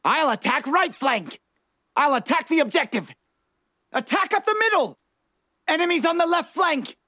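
A man's voice: very high voice, lively intonation, moderate pace, pushed and bright, dry recording, clean studio sound, exaggerated voice. A man voicing a manic, mischievous little male fox mad scientist in a video game, calling out short theatrical lines. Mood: excited, urgent. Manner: exclamatory, theatrical short barks.

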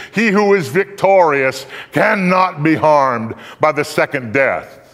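A man's voice: medium pitch, fairly bright tone. Older man declaiming in a reverberant hall. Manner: solemn, declamatory, with drawn-out emphatic phrases. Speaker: dramatic reader on stage. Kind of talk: live dramatic scripture recitation. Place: theater stage, indoors. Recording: echoey hall with long reverb.

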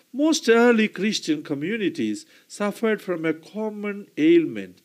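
A man with a high-pitched voice preaches a homily. His delivery is slow and deliberate. He clears his throat now and then and corrects himself mid-sentence. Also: a little mellow.

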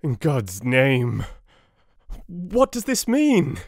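A man voicing a frantic young husband, shouting desperate pleas. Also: medium pitch, huge pitch swings, extremely slow, no room sound, clean studio sound, voice pushed up, heavily acted.

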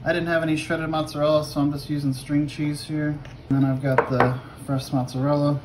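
A man's voice: fairly high pitch, little pitch movement, a little fast. A middle-aged man casually walking through a recipe in an offhand, unpolished way, recorded on a phone in a home kitchen. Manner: unpolished, offhand step-by-step delivery. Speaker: home cook presenting a recipe. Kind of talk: casual cooking demonstration. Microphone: phone camera held nearby. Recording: clearly noisy home kitchen video.

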